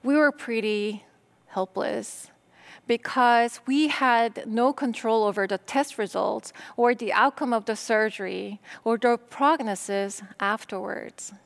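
A middle-aged woman pastor from Korea, whose first language is Korean, preaching a sermon in slow, deliberate phrases with pauses. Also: a little high, vocal fry.

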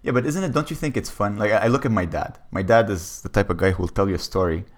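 Man, low voice, rapid talker, animated informal storytelling, comedian guest on a podcast. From Lebanon. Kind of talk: casual chat, storytelling.

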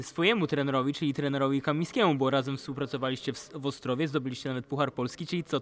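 A man co-hosting a sports podcast and putting questions to a guest in a conversational way. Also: fairly high pitch. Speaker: sports podcast co-host, interviewer. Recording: clearly noisy background ambience.

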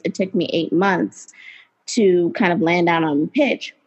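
Earnest woman guest on dull lo-fi audio. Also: lowish voice. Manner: earnest, reflective, with fillers. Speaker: guest, writer and editor. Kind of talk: casual interview talk.

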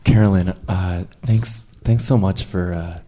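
A man's voice: low voice, steady tone, measured pace, warm tone, slight background noise, voice pushed down. A man playing an overfamiliar barista in improvised comedy, earnest, chummy and insistent. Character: overfamiliar, pushy barista declaring himself a romantic cure. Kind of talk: improvised radio theater comedy.

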